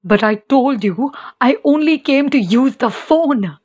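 Distraught young wife for audio drama, played by an actress: high, emotional, pleading; dry, slightly dull recording. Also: female voice, lively intonation, a little slow, a little mellow, slightly rough, dry recording, heavily acted. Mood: alarmed. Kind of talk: audio play acting.

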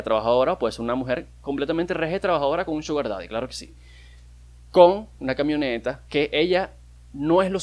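A young man with a Venezuelan accent, hosting a casual solo podcast, rambling and joking as he talks, with loose swearing and many restarts. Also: medium pitch. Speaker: podcast host.

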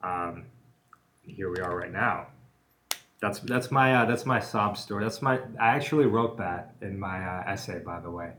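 A man's voice: low-pitched, normal speed, sharp tone, a little hiss or hum. A man co-hosting a casual podcast about lawyering, telling stories in a joking way and laughing often. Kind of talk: casual chat.

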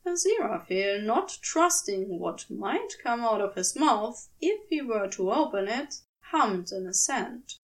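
A woman narrates a story in a high, very animated voice. She reads scripted prose expressively, shaping each phrase, and sits slightly back from the microphone. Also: measured pace, hardly any pauses, far from the mic, low-quality recording. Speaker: podfic narrator.

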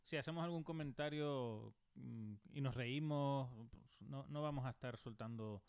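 A man's voice: medium pitch, unhurried, vocal fry, a little mellow, smooth, no room sound, clean studio sound. A young man from the Canary Islands with a Spanish accent tinged by Canarian and Mexican speech, co-hosting a casual podcast chat and laughing easily. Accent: Spanish, mixed Canarian and Mexican. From Canary Islands, Spain. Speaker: podcast co-host.

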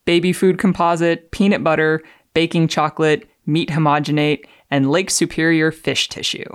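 A woman's voice: deep voice, little pitch movement, moderate pace, dry recording. A woman speaks on a science podcast in a very dry recording with no room sound. She reads technical phrases with careful enunciation and explains them conversationally. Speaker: podcast guest doing dramatic readings and explaining science. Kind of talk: dramatic reading of technical text mixed with conversational explanation.

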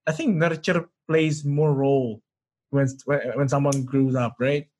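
A man's voice: medium pitch, fairly even intonation, unhurried, a little mellow. A man, co-hosting a casual chat and asking probing questions.

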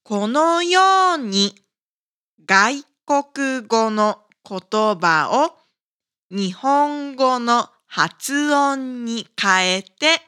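A woman hosting a scripted language lesson for learners, speaking with careful, deliberate enunciation. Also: high voice, expressive, sharp tone, clean tone. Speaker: language teacher and podcast host.

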